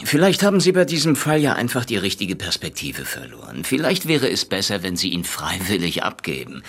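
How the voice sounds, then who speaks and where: An actor playing a patronizing police superior, falsely concerned, with a gravelly, husky voice in a German radio drama. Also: male voice, low voice, huge pitch swings, a little fast, fairly bright tone, exaggerated voice. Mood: condescending, veiled threat. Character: smooth-talking, condescending male police superior.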